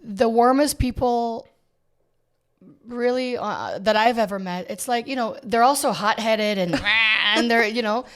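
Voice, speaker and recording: A woman's voice: on the high side, measured pace. A woman born in Israel and raised in the US, a realtor guest speaking earnestly in a podcast chat, often stopping and restarting. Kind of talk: casual chat.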